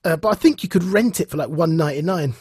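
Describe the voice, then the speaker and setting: A man co-hosting a podcast, talking casually and haltingly, repeating words for emphasis. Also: fairly high pitch, a little mellow, slightly rough, dry recording, clean studio sound. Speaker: podcast co-host. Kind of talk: casual chat.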